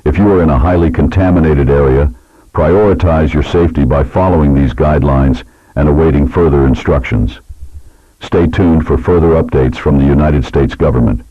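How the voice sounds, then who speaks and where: A man playing a grave government emergency alert announcer, reading a scripted warning in a solemn, measured, authoritative way. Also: very deep voice, mellow, dry recording, lo-fi sound. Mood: grave, instructive.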